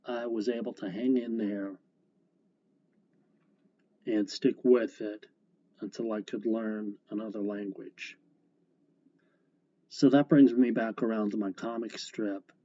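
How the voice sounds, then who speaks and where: A man's voice: lowish voice, steady tone, slow, long pauses, warm tone. A man hosting a casual solo podcast, rambling and correcting himself as he talks. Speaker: comic strip creator, podcast host. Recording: dull lo-fi sound, faint guitar.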